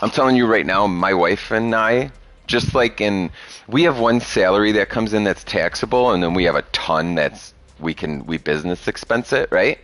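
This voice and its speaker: A man's voice: on the low side, moderate pace, fairly bright tone. A man in his thirties talking bluntly and profanely in a rambling casual podcast chat. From Chicago area. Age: 30s. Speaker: podcast host.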